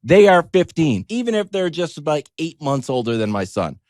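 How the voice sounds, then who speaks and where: A man's voice: on the high side, very animated, normal speed, a little mellow, dry recording, studio quality. A middle-aged American man telling a story as a show host in a casual, wry comic monologue, acting out little quoted asides.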